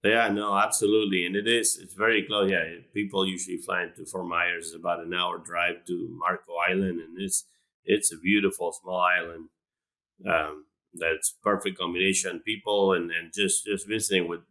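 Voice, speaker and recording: Deep-voiced man from Argentina. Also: little pitch movement, moderate pace, bright, forceful tone. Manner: hesitant, many fillers and restarts. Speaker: animal science professor, guest. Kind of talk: casual interview talk.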